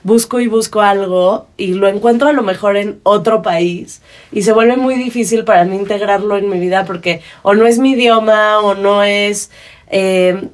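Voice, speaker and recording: A woman in her thirties with a Mexican Spanish accent, hosting a casual podcast conversation at a studio microphone. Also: mid-range voice. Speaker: podcast host. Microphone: studio microphone on boom arm.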